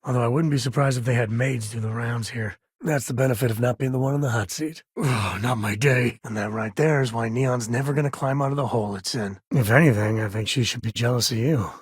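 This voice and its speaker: Game role, male actor: wry ex-ranger and single father among the stars; heavily husky, folksy, laid-back, dry studio sound. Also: mid-range voice, a little fast, few pauses, no room sound, natural voice. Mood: dry, casual. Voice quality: husky, raspy. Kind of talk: video game voice acting.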